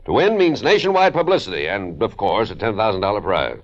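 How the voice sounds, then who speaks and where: A man's voice: lowish voice, sing-song, a little fast. A man playing a brusque, self-important forty-year-old businessman poet in an old-time radio detective drama, speaking in clipped, pompous phrases. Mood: grave, self-important. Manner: clipped telegraphic speech, pompous. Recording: muffled vintage radio recording.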